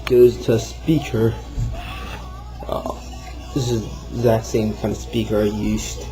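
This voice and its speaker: A man's voice: on the low side, fairly even intonation, a little slow, music in the background, rough recording. A man giving an informal, rambling, self-deprecating walkthrough of something he built, off the cuff. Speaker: hobbyist builder showing a homemade project. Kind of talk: casual off-the-cuff demo narration.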